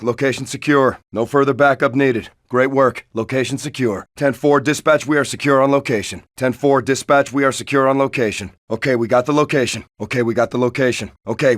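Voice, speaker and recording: A man's voice: fairly high pitch, a bit bright, no echo, studio quality, close to an everyday voice. An actor playing a tough male SWAT police officer in a video game, giving clipped, commanding tactical call-outs. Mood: calm, controlled. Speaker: video game player-character voice. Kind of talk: scripted tactical barks and radio call-outs.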